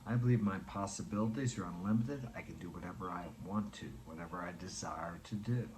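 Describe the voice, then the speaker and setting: A man in his sixties speaks in a somewhat low voice, delivering an earnest motivational monologue. He presses his points home by repeating them. A faint hiss of ambient noise sits under the recording. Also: brisk, darkish tone. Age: 60s.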